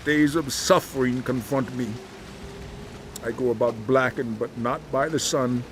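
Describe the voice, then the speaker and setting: An actor playing a suffering righteous man in a dramatized audio Bible, with solemn, impassioned, measured delivery. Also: mid-range voice, steady tone, a little hiss or hum, close to an everyday voice. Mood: anguished, pleading.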